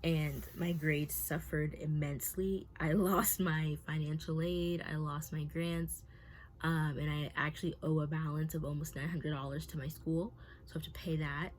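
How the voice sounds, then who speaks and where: A woman's voice: low-pitched, flat delivery, normal speed, a little mellow. A woman around twenty talking candidly to her camera, nervous and rambling, with many fillers and restarts. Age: 20s. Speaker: vlogger.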